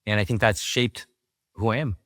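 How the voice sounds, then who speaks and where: A man from Taiwan, a guest investor, answering interview questions in a thoughtful, measured way. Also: on the low side, fairly even intonation, a bit bright, no room sound, clean studio sound.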